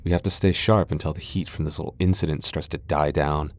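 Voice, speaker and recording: A man acting a gruff tsundere vampire lord in a roleplay audio drama, curt and easily flustered, secretly protective, with a gravelly voice. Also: a bass voice, fairly even intonation, fairly quick, darkish tone. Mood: stern, guarded.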